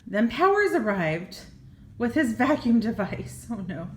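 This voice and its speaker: A woman's voice: on the high side, sing-song, measured pace, a little hiss or hum. An older woman, a grandmother, reading a children's story expressively with small asides, in an echoey room. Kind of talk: children's storybook read-aloud.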